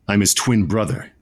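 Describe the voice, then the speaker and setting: A man playing a bitter, slighted twin posing as his brother, wry and conniving, in a comedy audio drama. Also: on the low side.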